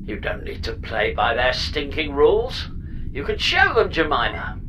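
Low, swooping spaceship pod computer, voiced by a woman, noisy backdrop. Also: sing-song, moderate pace. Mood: theatrically reckless. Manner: mock-grand, breezy, theatrical. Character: chatty, flippant, reckless spaceship pod computer companion. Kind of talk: scripted absurdist comedy audio drama.

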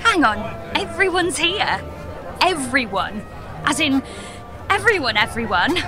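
A woman's voice: high-pitched, very animated, a little slow, over music. A woman playing a bewildered, exasperated space engineer in a comedic audio drama, blunt and incredulous.

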